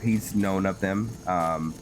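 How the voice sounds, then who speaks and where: A man giving casual game commentary, musing and halting as he thinks aloud to himself. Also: deep voice, faint music behind. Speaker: gameplay host narrating a roleplay playthrough.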